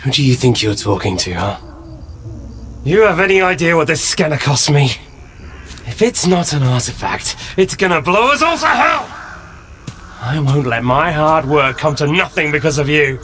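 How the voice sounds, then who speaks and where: Blunt higher-voiced wasteland smuggler. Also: male voice, sing-song, normal speed, a bit bright. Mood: angry. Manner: blunt, colloquial, needling. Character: gruff, suspicious, hot-tempered smuggler guide in a wasteland. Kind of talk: cinematic video game acting. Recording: clear background noise, night insects.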